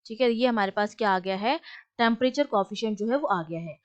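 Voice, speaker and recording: A woman's voice: mid-range voice, expressive, fairly quick, dull audio. A woman with an Indian accent teaching physics, explaining step by step, switching between two languages and posing questions she answers herself. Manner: asks rhetorical questions and answers them herself; mixes Hindi and English. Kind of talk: explanatory lesson, working through a problem step by step.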